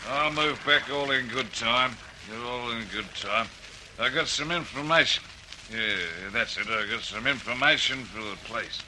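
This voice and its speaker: An actor playing a slightly tipsy, stubborn witness who rambles and repeats himself in a radio drama. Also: male voice, mid-range voice, sharp tone, a little hiss or hum, low-quality recording. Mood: insistent, boozy. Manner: rambling, repetitive, halting drunken talk. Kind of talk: old-fashioned radio drama acting.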